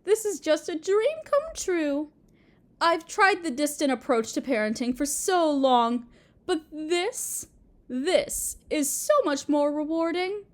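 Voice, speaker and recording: A woman playing a doting, possessive Mother Earth goddess in a roleplay audio drama, sweet and cooing as if to a small child, with gentle menace. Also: high voice, lively intonation, slow. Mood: delighted doting.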